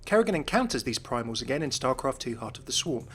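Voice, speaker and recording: A man's voice: medium pitch, sing-song, quick pace, a bit bright, slightly rough. A man hosting a video, reading scripted explainer narration.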